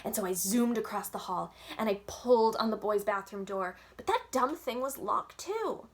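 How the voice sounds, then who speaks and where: A woman's voice: medium pitch, lively intonation, normal speed. A woman reading a children's book aloud expressively, as a library storyteller, with dramatic, childlike first-person narration.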